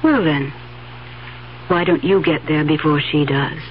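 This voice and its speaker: A woman's voice: deep voice, a little slow, loud music behind the voice. An actress playing a greedy, brazen female schemer in a 1970s radio drama, with a cool, goading delivery. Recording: dull 1970s radio recording, faint hiss.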